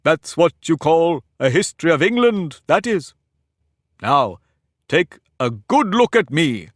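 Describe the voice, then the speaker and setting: A man's voice: fairly high pitch, sing-song, a little slow, no room sound, studio quality, pitched above the performer's own voice. A man voicing a pompous, touchy talking egg in a storybook reading, boastful and argumentative. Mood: lordly. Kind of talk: audiobook character reading.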